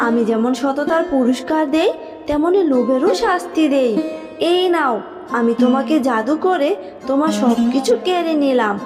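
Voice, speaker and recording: A woman's voice: high voice, animated, moderate pace, in a large hall, music in the background. A woman voicing a stern, admonishing fairy who punishes greed in a cartoon fable. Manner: admonishing, authoritative. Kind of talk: Bengali cartoon fable dubbing.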